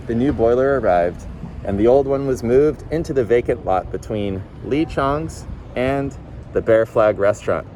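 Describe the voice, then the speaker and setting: Low-pitched man reading a novel outdoors. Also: darkish tone. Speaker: volunteer reader. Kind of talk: audiobook-style reading of a novel. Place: outdoors on a city street. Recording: faint outdoor street and traffic noise. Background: passing vehicles, faint street ambience.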